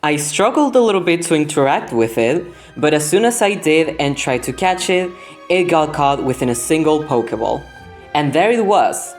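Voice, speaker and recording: Low-pitched young woman with a Spanish accent. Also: animated, moderate pace. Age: young adult. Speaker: gaming video host. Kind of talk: scripted voice-over narration of gameplay. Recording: background music under the voice. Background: music.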